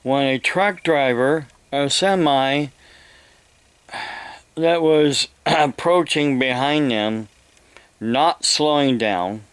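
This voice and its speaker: An older man with an American accent delivers a rambling monologue, halting and deliberate, often repeating his phrases. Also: mid-range voice, clean tone, dry recording. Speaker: evangelist vlogger.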